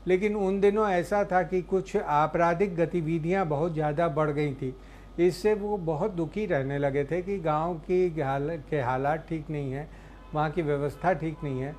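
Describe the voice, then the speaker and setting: Higher-pitched man, halting interview speech. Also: a little mellow. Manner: halting, searching for words. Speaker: interviewee recounting a poet's life. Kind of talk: unscripted interview recollection. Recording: faint background ambient noise.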